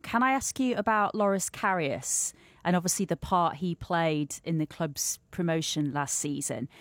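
A woman, a sports reporter, speaks in a fairly low voice with polished broadcast fluency. Her voice is dry and close, with no room sound around it. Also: normal speed, no room sound.

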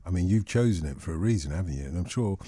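Male radio host, warm mellow tone, dry studio sound, chatty, jokey. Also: low-pitched, lively intonation, very fast, breathy edge, no echo. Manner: jokey, chatty, chuckles often. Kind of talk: late-night radio phone-in chat.

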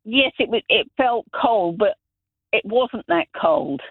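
A man's voice: very high voice, very animated, takes it slowly, creaky, slightly rough, dry recording. Middle-aged man on a tinny phone line. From Zambia. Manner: rambling anecdotes, many restarts. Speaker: radio caller. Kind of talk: casual phone-in chat. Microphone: telephone.

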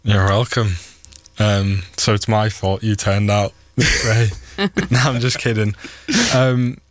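A man's voice: lowish voice, little pitch movement, slow, no echo. A young man from Pakistan, a native Pashto speaker, chatting casually as an interview guest. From Swat Valley, Pakistan. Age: young adult. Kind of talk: casual chat.

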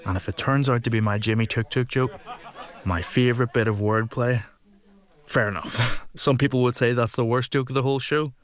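A young man telling a stand-up comedy story to a live audience, with self-deprecating, deadpan asides. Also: mid-range voice, normal speed.

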